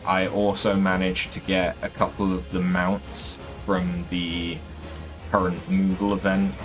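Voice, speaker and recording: Man, deep voice, slow hesitant gaming commentary, strained throat, faint music behind. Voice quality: relatively deep voice. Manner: hesitant, many ums, unhurried. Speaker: gaming streamer. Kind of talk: casual let's-play commentary.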